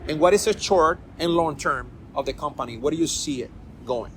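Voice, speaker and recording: A man's voice: a little high, brisk, a bit bright, a little hiss or hum. A middle-aged man from Rico, hosting a casual interview podcast and rambling through repeated words and restarts. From Puerto Rico. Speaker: podcast host.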